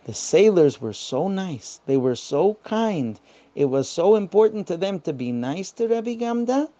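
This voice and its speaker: A woman's voice: on the low side, sing-song, unhurried, dark tone, lo-fi sound. A woman telling a children's bedtime story in simple phrases, repeating words for emphasis.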